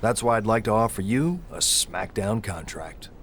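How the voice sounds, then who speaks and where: A man's voice: on the low side, big pitch swings, normal speed, a bit bright. A man playing a businesslike wrestling executive in video game cutscene dialogue. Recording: faint background noise.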